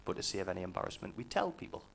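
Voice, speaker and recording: A man from northeast England with a Geordie accent, doing a deadpan, punchy stand-up routine with dark jokes. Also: sits low, racing, creaky. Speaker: stand-up comedian. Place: comedy club stage. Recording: live stage, crowd and noise.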